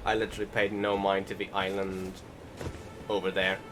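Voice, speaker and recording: A man's voice: deep voice, completely flat delivery, normal speed, noisy background. A man giving casual, rambling and hesitant commentary over a video game, swearing now and then. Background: game music behind the voice.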